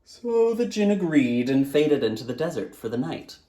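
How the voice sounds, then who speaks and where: Expressive man narrating a storybook. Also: on the high side, moderate pace. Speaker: author reading own book aloud.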